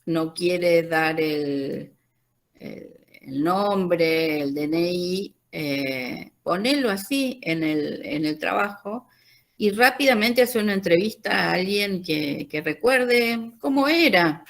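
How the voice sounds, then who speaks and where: Argentine woman, teacher, slow and hesitant, gravelly fry, many fillers. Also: fairly low pitch, animated. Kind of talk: online class consultation.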